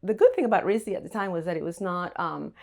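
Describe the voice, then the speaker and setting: A woman's voice: on the low side, lively intonation, fast, vocal fry. A woman being interviewed as a wine bar owner, speaking conversationally and stressing points through repetition. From Puerto Rico.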